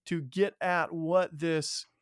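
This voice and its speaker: Clear, higher-voiced male podcast host. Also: fairly even intonation, slow pace, no room sound, studio quality. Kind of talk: casual conversational interview.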